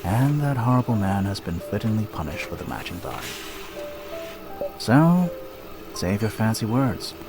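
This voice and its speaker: A man's voice: on the low side, sing-song, normal speed, pauses often, music in the background. An actor playing a self-loathing, sardonic, wisecracking man in a video game cutscene. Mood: bitter, ashamed.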